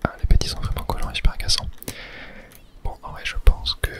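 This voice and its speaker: A man's voice: fast, bright, forceful tone. Whispering man, gaming ASMR. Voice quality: breathy unvoiced whisper. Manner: casual, murmured reactions to gameplay. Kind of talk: whispered ASMR video game commentary.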